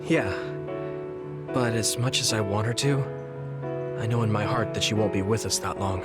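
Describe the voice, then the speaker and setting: A man's voice: fairly low pitch, moderate pace, lightly acted. An actor voicing an earnest, kind, easygoing young man soldier in a video game, speaking in a friendly, sincere way. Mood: earnest, reflective. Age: young adult. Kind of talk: video game voice acting, story cutscene dialogue. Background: background music.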